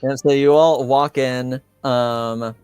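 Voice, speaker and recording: A man's voice: mid-range voice, fairly even intonation, slow pace, darkish tone. A man improvising tabletop game narration as game master, hesitantly searching for his words.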